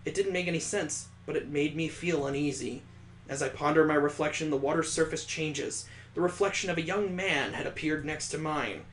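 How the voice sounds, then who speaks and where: A man voicing a detached, world-weary young heroine narrating a visual novel in a measured, dramatic reading. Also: on the high side, a bit bright, a little hiss or hum, natural voice. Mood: annoyed, aloof. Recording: echoey, roomy sound.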